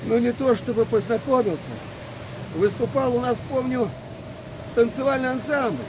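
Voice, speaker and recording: An actor playing a seasoned, philosophical British sailor in a Second World War audio play, talking in a colloquial, reflective way. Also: male voice, very high-pitched, moderate pace, noisy background. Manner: colloquial, rough-spoken, reflective.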